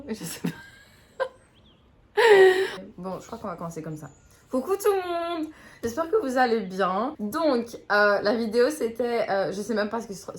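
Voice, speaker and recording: A young woman from Belgium, chatting casually to her camera, giggly and self-mocking. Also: mid-range voice. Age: young adult. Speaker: YouTuber.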